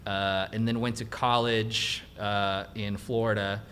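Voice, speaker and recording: Lively storytelling guy from Mexico. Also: fairly low pitch, unhurried, a little hiss or hum. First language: Spanish. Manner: animated storyteller, laughs easily, quotes himself. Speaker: guest cinematographer. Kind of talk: casual interview chat.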